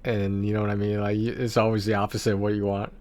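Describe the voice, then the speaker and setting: A man chatting casually on a game livestream, talking in a rambling, halting way and often repeating his words. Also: lowish voice, completely flat delivery, darkish tone.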